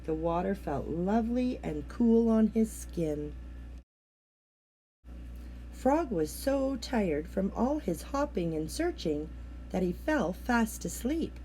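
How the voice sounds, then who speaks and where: A woman reading a children's picture book aloud as an expressive storyteller. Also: medium pitch, takes it slowly, a little hiss or hum, rough recording. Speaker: storybook reader.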